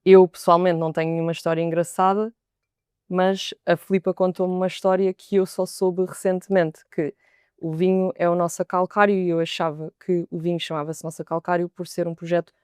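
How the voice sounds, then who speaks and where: Soft-spoken Portuguese sommelier woman. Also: fairly low pitch, little pitch movement, brisk, clear, resonant tone, no room sound, clean studio sound. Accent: European Portuguese. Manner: thoughtful, repeats words as she gathers her thoughts. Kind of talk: podcast interview answers.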